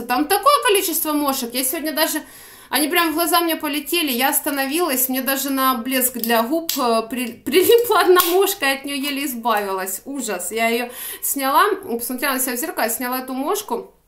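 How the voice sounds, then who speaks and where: A young woman with a Ukrainian accent rambles through a shopping haul in a fairly high voice, chatty and quick to laugh. She talks into a phone held at arm's length in a small room, and the sound bounces around with a noticeable echo. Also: a bit bright. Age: young adult. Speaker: vlogger. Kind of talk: casual shopping-haul chat. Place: small room indoors by a window. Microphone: phone camera at arm's length. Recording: echoey room.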